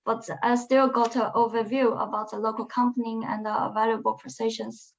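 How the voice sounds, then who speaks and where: A young woman speaking English as a second language, answering interview questions in a halting, hesitant way. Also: a little high, flat delivery, vocal fry. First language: not English; non-native speaker. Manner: hesitant, halting phrasing with restarts. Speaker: alumna guest. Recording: muffled lo-fi webinar audio.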